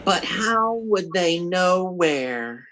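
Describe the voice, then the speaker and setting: A man's voice: sits high, lively intonation, drawn-out words, over a noisy crowd, heavy background noise, heavily acted. A man playing a bumbling, scheming husband in classic radio comedy, with a folksy, stammering, blustering delivery. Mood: flustered scheming.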